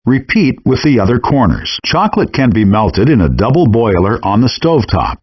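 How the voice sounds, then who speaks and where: The husky man's voice from a handheld cooking game's tutorial, walking you through each step with animated intonation out of a small, muffled speaker. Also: moderate pace. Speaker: instructional narrator of a cooking game. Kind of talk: scripted instructional narration. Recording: dull, compressed low-fidelity game audio.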